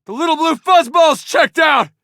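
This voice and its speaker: An actor playing an easygoing, loyal farmer turned soldier in a video game, with laid-back folksy phrasing and dry humour. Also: male voice, lowish voice, expressive, normal speed, dry recording, close to an everyday voice. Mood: anguished shouting. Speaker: video game companion character. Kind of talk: game dialogue and battle barks.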